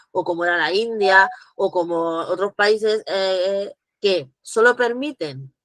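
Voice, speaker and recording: Woman on a video call, bright forceful voice, very dry sound, talks fast and acts out quotes. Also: mid-range voice, dry recording. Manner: animated, acts out quoted dialogue, frequent restarts. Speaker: guest speaker. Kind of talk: virtual conference talk, answering questions.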